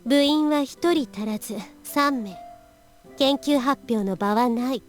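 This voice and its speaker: A woman acting youthful, expressive high-school girls in a Japanese visual novel. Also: moderate pace, close to an everyday voice. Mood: stern. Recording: prominent game music behind dialogue.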